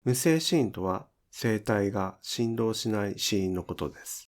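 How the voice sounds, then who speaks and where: A man's voice: fairly low pitch, lively intonation, measured pace. A man giving scripted instructional narration as a pronunciation teacher, enunciating carefully step by step.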